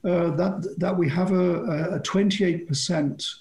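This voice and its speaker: A man, a company chief executive, introducing his firm on an online panel in a brisk, wry manner. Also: sits high, steady tone, creaky, darkish tone. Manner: brisk and wry, light self-deprecating humor. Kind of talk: prepared self-introduction on a panel.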